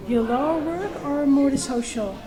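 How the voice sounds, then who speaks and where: A woman interviewing a guest, asking short questions and giving quick replies of agreement. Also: sits high, crowd noise. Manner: brief prompts and quick back-channel replies.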